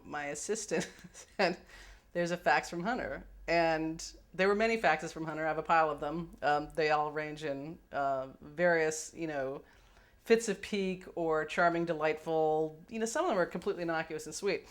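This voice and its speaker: A woman with a mid to low-pitched voice talks candidly, as if being interviewed. She is wry and often hesitant, searching for her words as she looks back. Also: moderate pace. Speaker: film production executive, interviewee.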